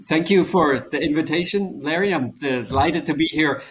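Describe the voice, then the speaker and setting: A man's voice: on the high side, normal speed, slight background noise. Swiss man explaining strategy animatedly. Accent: Swiss German. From German-speaking Switzerland. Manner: animated, explanatory, laughs often. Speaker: author and professor. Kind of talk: conversational interview answers.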